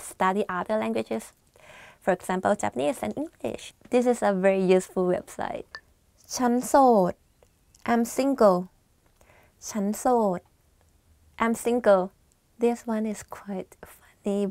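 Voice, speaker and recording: A young woman from Thailand with a Thai accent, presenting a language lesson in a chatty, friendly way and explaining phrases between slow repeats. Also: medium pitch, vocal fry, no echo. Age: young adult. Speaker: language teacher. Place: studio with plain backdrop. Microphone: lapel mic.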